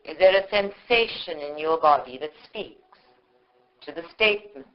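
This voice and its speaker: A woman leading a guided meditation in short, measured phrases with long pauses, on a live recording. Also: deep voice, lively intonation, lo-fi sound. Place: live group meditation session.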